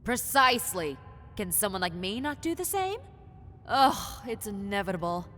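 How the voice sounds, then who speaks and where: A woman voicing a possessive, teasing lamia, a half-snake predator, in a roleplay audio drama, with condescending, seductive taunts that slip into breathy whispers. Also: medium pitch, sing-song, moderate pace, fairly bright tone, faint music behind. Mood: indignant. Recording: long cave-like reverb, faint noise.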